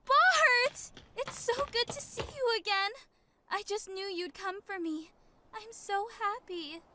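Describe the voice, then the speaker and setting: A woman's voice: very high voice, slow pace, background noise. A woman playing a sweet young girl. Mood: joyful. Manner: gentle, earnest.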